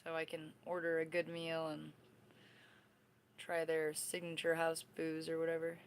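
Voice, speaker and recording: A woman in her thirties with a slightly low voice, chatting loosely to her livestream viewers. She rambles from one thought to the next. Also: monotone, unhurried, a little hiss or hum. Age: 30s. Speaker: livestream host. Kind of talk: casual livestream chat.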